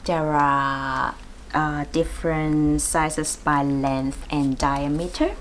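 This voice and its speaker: A woman acupuncturist walks newcomers through her needles in a low, slow voice. She explains patiently and laughs lightly between points. She sits back from the mic, with a faint hiss of the clinic room behind her. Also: steady tone, distant mic. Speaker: acupuncturist and host. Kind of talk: explanatory demonstration.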